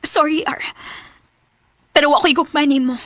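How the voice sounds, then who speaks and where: Coy blunt young bisaya gf, bright high voice, radio drama. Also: female voice, fairly even intonation, normal speed, dry recording. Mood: defensive, blunt. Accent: Filipino, Bisaya. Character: evasive, blunt young woman stringing her suitor along.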